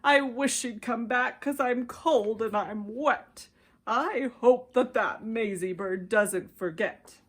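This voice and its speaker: A woman voicing a huge, kindly male storybook elephant with slow, emphatic, earnest speech. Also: fairly high pitch, husky. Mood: glum. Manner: slow, emphatic, drawn-out delivery. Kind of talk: children's storybook character voice.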